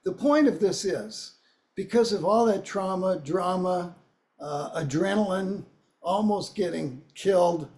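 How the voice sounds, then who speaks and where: Hoarse man with a high voice. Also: unhurried, darkish tone. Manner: wry storytelling, dry humor. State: hoarse from a cold. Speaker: singer-songwriter performer. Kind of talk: relaxed spoken song introduction. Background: guitar playing.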